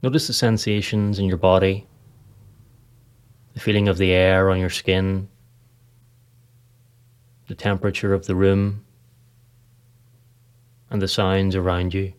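A man leading a scripted guided meditation in a calm, measured manner, leaving pauses between short phrases. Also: low-pitched, smooth. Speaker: podcast host, meditation guide.

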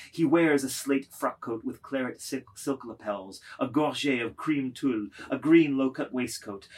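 Husky man narrating dramatic literature. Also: mid-range voice. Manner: deliberate, declamatory, phrase by phrase.

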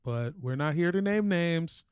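A man with a high voice and very lively intonation, trading casual jokes as a podcast co-host. He is slangy, profane and irreverent, and the sound is close and dry. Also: a little slow, clear, resonant tone, no echo. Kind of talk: casual comic banter.

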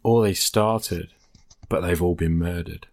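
A man's voice: sits low, unhurried, a little mellow, dry recording, clean studio sound. A man playing a tabletop game, improvising story details casually in a thoughtful, hesitant way.

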